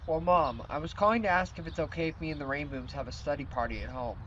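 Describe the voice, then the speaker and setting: A woman's voice: low voice, fast, highs cut off, a little hiss or hum, natural voice. A woman voicing an earnest teenage boy who talks eagerly with friends and his mom.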